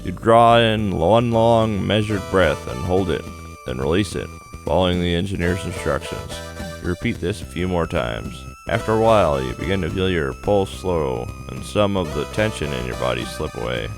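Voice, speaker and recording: A man's voice: deep voice, animated, moderate pace, vocal fry, over music. A man reading the narration of a visual novel aloud as a gaming video host.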